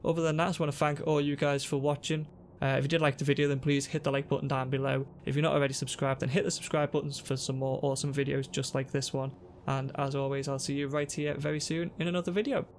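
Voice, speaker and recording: Guy on a headset, sore throat, bit gravelly, flat chill tutorial voice, faint hiss. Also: medium pitch, fast. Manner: relaxed, explanatory, talks to viewers. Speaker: gaming youtuber. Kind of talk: casual gameplay tutorial. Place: home room at a desk.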